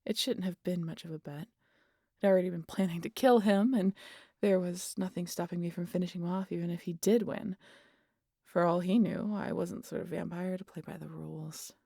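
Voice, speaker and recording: A woman playing a wry, guarded vampire who teases and confides in an intimate audio roleplay, casual and sweary, often sinking to a breathy near-whisper. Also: on the low side, steady tone, a little fast, dark tone, no echo, studio quality. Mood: nostalgic, wry. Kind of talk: intimate audio roleplay monologue addressed to the listener.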